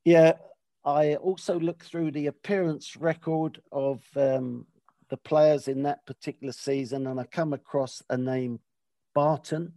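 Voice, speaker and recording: A man hosting a podcast with a gravelly, mellow voice. He talks in a loose, rambling way, full of hesitations and restarts. The sound is dry and close to the mic. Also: a little high, steady tone, measured pace, dry recording. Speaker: podcast host. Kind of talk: casual chat.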